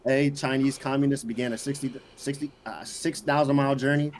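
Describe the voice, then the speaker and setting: Male quiz host, mid-pitched, reads options slowly, light hiss behind. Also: fairly even intonation. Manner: reads answer options slowly, teases players. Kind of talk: reading quiz questions on a casual online show.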